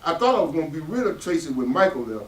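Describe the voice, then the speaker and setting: Actor as bitter father, wildly swinging high voice. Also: big pitch swings, fast, a bit bright. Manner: combative, barking, self-pitying. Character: bitter, hard-drinking working father, angry and resentful. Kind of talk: stage play reading. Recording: roomy, echoey, distant mic.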